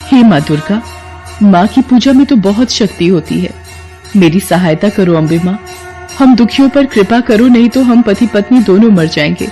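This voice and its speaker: A woman's voice: mid-range voice, lively intonation, normal speed, warm tone, faint music behind, natural voice. A woman voicing a humble, devout young wife in a story, plaintive and pleading. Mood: tearful pleading.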